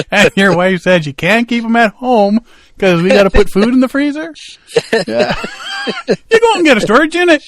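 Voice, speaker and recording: Lively joking man hosting a podcast. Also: very high voice, a little slow, long pauses, slight background noise. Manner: joking, teasing banter. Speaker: podcast host. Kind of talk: casual chat. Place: studio.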